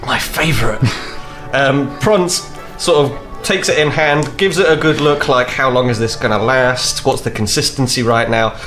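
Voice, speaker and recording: A man's voice: mid-range voice, a little slow, fairly bright tone, soft background music. A man playing a tabletop game, narrating his character with lots of laughter. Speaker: player. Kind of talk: casual tabletop roleplay.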